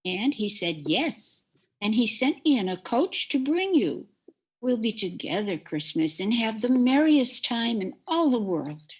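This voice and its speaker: A woman doing a sweet little girl, high-pitched. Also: big pitch swings, unhurried, husky, full character voice. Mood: eager. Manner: eager, pleading, affectionate. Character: young girl, loving little sister. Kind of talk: amateur radio play reading. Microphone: video call.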